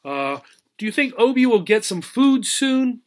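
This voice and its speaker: A man reading a children's book aloud as a teacher, in an expressive storybook narration style. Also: very high-pitched, measured pace.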